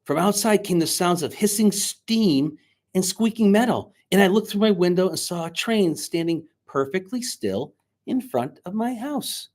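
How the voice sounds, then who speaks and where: A man speaking to schoolchildren as their teacher, reading a storybook aloud in an expressive, dramatic way. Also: sits high, moderate pace. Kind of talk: children's storybook read-aloud and chat to pupils. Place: indoors, recorded remotely on video.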